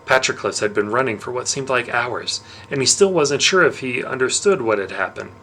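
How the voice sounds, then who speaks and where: A man narrating a novel aloud in an expressive storytelling style. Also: mid-range voice, moderate pace, a little hiss or hum, poor audio.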